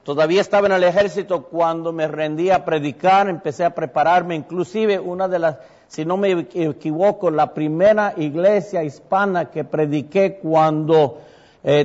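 A middle-aged man from Puerto Rico speaks with a Mexican-tinged accent in a slightly high voice. He is a pastor giving a testimony from the pulpit, wandering through fillers and self-corrections. The sound is a little muffled, with a long reverb trailing through the church. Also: darkish tone. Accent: Mexican-tinged Puerto Rican Spanish. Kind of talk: testimony to a congregation. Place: church sanctuary.

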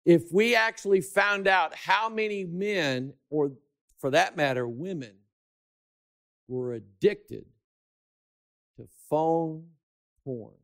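Male preacher with a high voice. Emphatic, draws out key words, drops to hushed asides. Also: slow, long pauses. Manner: emphatic, swings between shouting and hushed asides. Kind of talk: sermon.

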